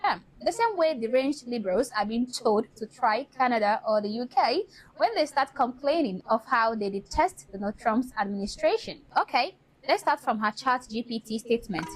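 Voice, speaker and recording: Higher-voiced woman giving critical commentary. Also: sing-song, moderate pace, rough recording. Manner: critical, formal wording. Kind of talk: commentary, fluent scripted-sounding delivery.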